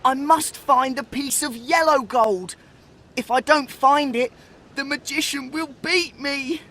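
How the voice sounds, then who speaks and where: A man's voice: very high-pitched, slow, sharp tone, voice pushed up, exaggerated voice. A man voicing a sad, humble, frightened young boy in a fairy tale, earnest and plaintive. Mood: tearful, frightened.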